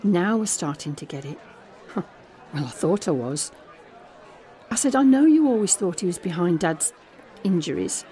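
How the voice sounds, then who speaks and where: Mellow young woman confiding as an actress plays her. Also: lowish voice, sing-song, moderate pace, some chatter behind. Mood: puzzled, wary. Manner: confiding, conversational storytelling that re-enacts dialogue. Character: Alex, young woman, guarded, frank, tender daughter confiding a secret. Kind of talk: dramatic first-person monologue in an audio drama.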